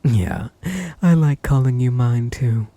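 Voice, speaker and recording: A man playing an obsessive, sweetly menacing stalker groom in an ASMR audio drama, breathy and doting with a threat underneath. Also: medium pitch, slow, warm tone, no echo, lightly acted. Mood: doting, smug. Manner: doting endearments laced with menace. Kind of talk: ASMR roleplay audio drama, addressing the listener.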